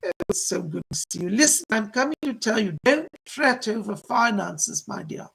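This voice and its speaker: A man voicing a gentle, motherly female spirit guide in a trance séance, effusive and soothing, with genteel endearments and a husky, creaky voice. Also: high voice, expressive, moderate pace, full character voice. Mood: excited, welcoming.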